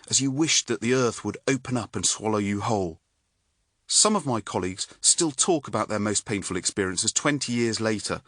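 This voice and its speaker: Audiobook narrator: British man, middle-aged, medium pitch with animated inflection, measured scripted delivery, very dry recording. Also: no room sound. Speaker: author and neurologist reading own audiobook.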